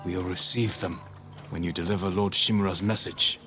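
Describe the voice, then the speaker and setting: An actor playing a stern young samurai lord, terse, formal and restrained, in cinematic game dialogue. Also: male voice, low-pitched, steady tone, normal speed, a bit bright. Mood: resolute. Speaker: video game protagonist. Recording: music under the dialogue.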